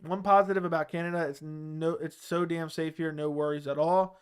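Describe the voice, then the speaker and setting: Man, young adult, Baltimore accent, live chat host, rambling, smooth clear voice. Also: fairly high pitch, flat delivery, moderate pace. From Baltimore area. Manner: loose, rambling, with fillers and restarts. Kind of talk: casual live chat reading viewer comments.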